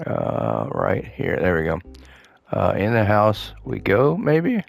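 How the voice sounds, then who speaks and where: A man giving casual gameplay commentary, thinking aloud to himself as he plays, his voice slightly hoarse. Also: lowish voice, takes it slowly, faint music behind. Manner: relaxed thinking aloud, muttering to himself while playing. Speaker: gaming host playing a renovation game.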